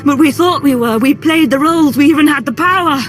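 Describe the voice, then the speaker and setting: An actress playing a tormented young Sith woman in a video game, intense, halting and struggling for control of her mind. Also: high-pitched, natural voice. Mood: strained, resolute. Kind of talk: dramatic video game voice acting. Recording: music behind the voice.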